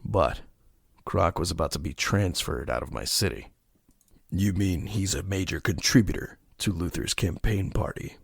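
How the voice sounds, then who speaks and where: A man playing a grim masked vigilante detective in an audio drama, terse and stern, with a gravelly, husky voice. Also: low-pitched, moderate pace, dry recording, clean studio sound, full character voice. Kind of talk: audio drama narration and dialogue.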